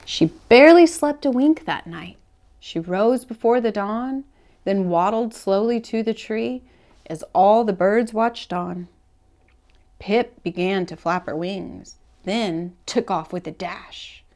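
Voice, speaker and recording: A woman reading a rhyming children's storybook aloud as a read-aloud host, with expressive, deliberate phrasing. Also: medium pitch.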